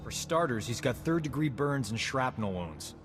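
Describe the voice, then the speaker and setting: A man's voice: mid-range voice, lively intonation, normal speed, big-room sound, over music, lightly acted. An actor playing a wry, flirtatious male doctor and companion in a video game, with a suave manner. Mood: wry, matter-of-fact. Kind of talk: scripted game dialogue.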